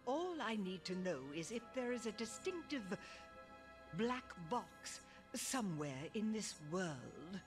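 A cold evil sorceress, imperious n menacing, swoopy delivery, game villain w music behind. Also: female voice, mid-range voice, big pitch swings, slow. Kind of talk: animated video game acting.

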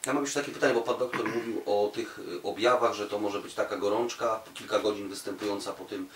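A man's voice: on the low side, fairly even intonation, quick pace, a bit bright, slight background noise. A man interviewing in a conversational style, putting long, rambling, informal questions. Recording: roomy echo, distant from the mic.

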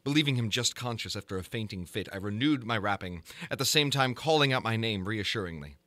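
Bright, forceful male horror narrator. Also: fairly low pitch, no echo. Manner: theatrical, deliberate, ornate literary delivery. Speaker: narrator, audiobook reader. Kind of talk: dramatic reading of a gothic horror story.

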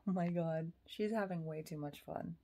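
A woman's voice: fairly low pitch, moderate pace. A woman in her thirties chatting casually to her phone camera from bed, sometimes dropping to a whisper. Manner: chatty, slips into soft whispers. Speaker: vlogger. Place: bedroom, lying on a bed indoors. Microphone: phone camera at arm's length.

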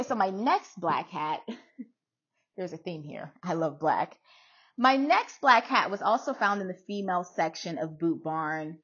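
A woman's voice: mid-range voice, breathy edge, lo-fi sound. A middle-aged American woman talking casually to camera in an informal, emphatic way, repeating phrases as she shows items. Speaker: vlogger showing a hat collection. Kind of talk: casual chatty product try-on. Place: small room indoors at home.

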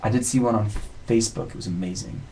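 A man's voice: on the low side, fast, mellow, a little hiss or hum, rough recording. A young man in his twenties, chatting casually and reading viewer comments aloud on a live webcam stream from a home studio. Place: home music studio, indoors. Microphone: webcam mic.

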